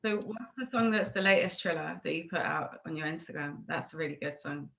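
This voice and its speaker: Low-voiced British woman, chatty interviewer. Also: a little fast, creaky, fairly bright tone. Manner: chatty, informal, encouraging. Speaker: interviewer and host. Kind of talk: casual interview chat. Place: remote video call.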